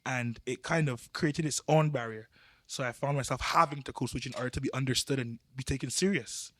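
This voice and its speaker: A young Barbadian man speaks in a mid-range voice, earnest and explanatory, often letting his sentences fade quieter. The sound is close and dry, with no room around it. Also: mid-range voice, brisk, no room sound. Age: young adult. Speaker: youth podcast panelist. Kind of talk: casual group discussion.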